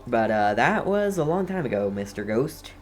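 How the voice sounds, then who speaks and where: A man giving casual game commentary in a halting, rambling way. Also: mid-range voice, sing-song. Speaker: gamer playing through a story quest. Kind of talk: casual game commentary, reading dialogue aloud.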